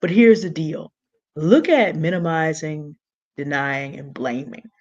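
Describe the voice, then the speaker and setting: A woman with a big, low contralto voice, talking through a point deliberately and earnestly as a panelist in an online discussion. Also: darkish tone, dull audio. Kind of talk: measured explanatory talk.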